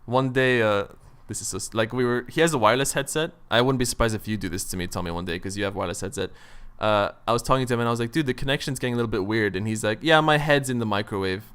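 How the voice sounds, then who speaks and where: A man's voice: medium pitch, rapid-fire, hardly any pauses, fairly bright tone, smooth. A man talking casually and jokily with friends on a gaming podcast, in informal, slangy language. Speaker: podcast co-host.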